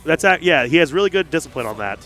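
A young man commentating a live fighting game tournament, joking and getting loud whenever the play heats up. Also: on the high side, quick pace, faint music behind, low-quality recording. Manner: excitable, jokey, gets loud when hyped. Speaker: esports commentator. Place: large hangar hall at a gaming event.

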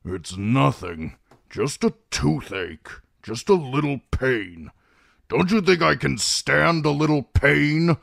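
A man voicing a grouchy, proud old man of a clan in a storybook, with a creaky, grumbling delivery. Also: medium pitch, sing-song, takes it slowly, a bit bright, no room sound, studio quality, pitched above the performer's own voice, full character voice. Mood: irritable, defensive. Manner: grumbling, impatient, snapping.

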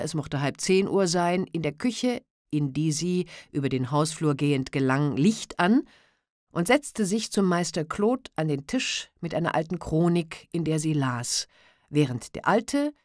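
A woman with a fairly low voice reads classic literature aloud. Her narration is measured and expressive, recorded close and dry with no room sound. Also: no room sound. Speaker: audiobook narrator.

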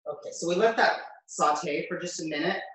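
A man's voice: a little high, normal speed, a bit bright. An American man in his fifties giving a casual, chatty live cooking demonstration, thinking aloud as he works. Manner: chatty, informal, thinking aloud while working. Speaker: chef hosting a cooking demonstration. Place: home kitchen, indoors. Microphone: camera a few metres away. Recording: very echoey kitchen, distant microphone.

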